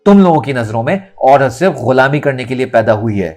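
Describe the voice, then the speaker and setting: Man voicing an indignant young woman, animated delivery. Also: mid-range voice, brisk, natural voice. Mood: angry, defiant. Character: angry defiant young woman, wronged and unyielding. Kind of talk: dramatic audio novel reading. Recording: music under the voice.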